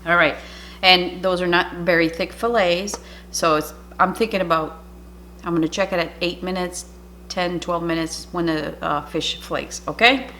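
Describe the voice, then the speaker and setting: A woman's voice: on the low side, steady tone, moderate pace. Woman, native French speaker, casual cooking vlog, chatty and rambling, home kitchen, faint hum. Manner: chatty, rambling, self-interrupting. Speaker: cooking YouTuber.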